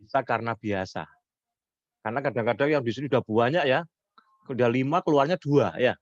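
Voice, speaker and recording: A hoarse man, lecturing on public speaking and answering questions, lifting his voice high when he stresses points. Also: fairly quick, no echo. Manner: rising pitch when stressing points, mixes Javanese words. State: hoarse from illness. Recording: dull lo-fi sound.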